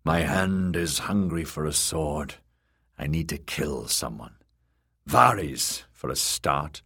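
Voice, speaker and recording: A man voicing a weary, bitter one-handed knight who speaks low, grim and clipped. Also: takes it slowly, fairly bright tone, breathy edge, heavily acted. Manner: low, grim and clipped, menacing when threatening.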